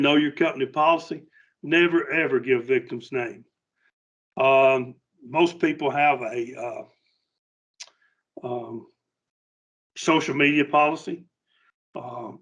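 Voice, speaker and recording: A middle-aged man giving an informal workplace safety training talk in a plainspoken, halting, folksy manner. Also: medium pitch, fairly bright tone.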